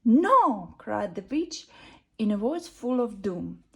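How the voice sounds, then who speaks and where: A woman's voice: medium pitch, very animated, a little slow, gravelly, mellow. A woman reading a rhyming children's bedtime story in a sing-song way. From Russia.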